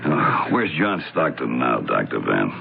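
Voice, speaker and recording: Casting weary skeptical cop, extremely low lively voice, wry, vintage radio play. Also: male voice, unhurried, creaky, exaggerated voice. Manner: wry, casual. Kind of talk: 1970s radio drama acting. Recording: narrowband, hissy old radio broadcast.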